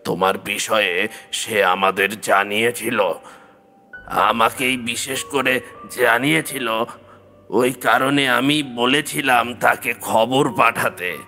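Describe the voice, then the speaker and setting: Casting: a man playing an elderly village leader, bright tense voice, unhurried, solemn and rueful, for horror radio play. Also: medium pitch, fairly even intonation, soft background music. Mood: regretful, solemn. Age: older. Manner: solemn, explanatory, deliberate. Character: regretful old male village elder.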